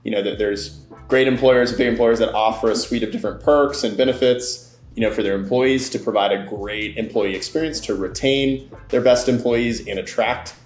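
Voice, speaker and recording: A man's voice: mid-range voice, steady tone, normal speed, echoey room. A man answering as a company guest in an interview, friendly and fluent with frequent fillers.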